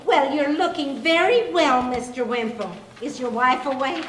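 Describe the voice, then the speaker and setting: A woman with an American accent playing a patient, inquisitive, gently teasing middle-aged wife in an old-time radio comedy. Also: high-pitched, normal speed, natural voice. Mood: politely curious. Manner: polite, sweetly firm, wry. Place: clubhouse hall, live performance. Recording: echoey clubhouse, faint noise.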